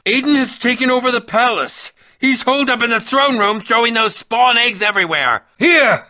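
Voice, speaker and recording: An eccentric, middle-aged male potion maker for a video game. His voice sits a little high and leaps around dramatically, with a bright, cutting edge. He is pompous and irritable, delivering everything with theatrical, sardonic fussiness. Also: no echo, exaggerated voice. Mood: excited, theatrical. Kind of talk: animated video game voice acting.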